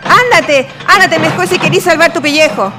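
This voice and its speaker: Very high, panicked Chilean wife played by a woman. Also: normal speed. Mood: pleading. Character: panicked, pleading wife of a jealous man. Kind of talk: radio drama acting.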